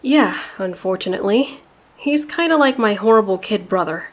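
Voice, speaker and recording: A woman's voice: mid-range voice, animated, moderate pace, natural voice. A woman voicing a tough, sardonic young female ninja turtle in a comic dub, speaking in a dry, casual way. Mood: dry, cool.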